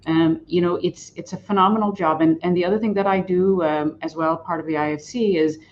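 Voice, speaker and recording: Low-voiced woman on a muffled call. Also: fairly even intonation, a little fast, a little mellow, a little hiss or hum. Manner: enthusiastic, with repeated words and fillers. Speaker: panelist, regional director. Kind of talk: self-introduction on a panel.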